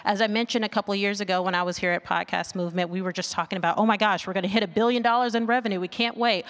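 A woman's voice: medium pitch, steady tone, racing, fairly bright tone. A woman giving an informal conference presentation in a conversational way, asking rhetorical questions and checking in with the listeners. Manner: conversational, asks rhetorical questions, often adds "right?". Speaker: conference speaker, marketing research executive. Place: large indoor conference hall. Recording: long hall reverb from venue sound system.

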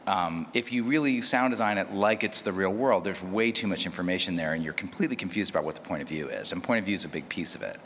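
A man's voice: low voice, expressive, fast, few pauses, fairly bright tone. A man speaking as an audio drama producer in a thoughtful panel talk, searching for words and repeating phrases as he explains. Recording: echoey hall with clear background noise.

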